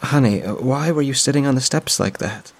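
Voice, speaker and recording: A man voicing a caring middle-aged woman, a friend of the family, speaking in a gentle, soothing, concerned way. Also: mid-range voice, fairly quick, voice pushed up, close to an everyday voice.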